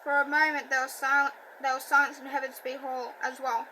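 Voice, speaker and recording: A woman reading a novel aloud, halting and self-correcting, with a stutter and a slight lisp. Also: high voice, level tone, sharp tone, a little hiss or hum, low-quality recording. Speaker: audiobook reader. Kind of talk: amateur book reading.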